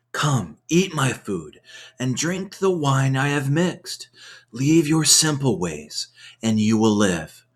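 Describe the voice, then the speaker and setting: A man reading scripture in an ASMR style, with measured, deliberate phrasing and pauses. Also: medium pitch, fairly bright tone. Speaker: scripture reader. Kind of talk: soft-paced ASMR Bible reading.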